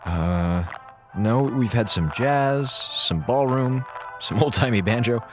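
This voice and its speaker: A man's voice: medium pitch, takes it slowly, faint music behind, close to an everyday voice. An actor playing a laid-back, wisecracking male communications officer in a space audio drama, talking colloquially and hesitantly. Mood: offhand, distracted.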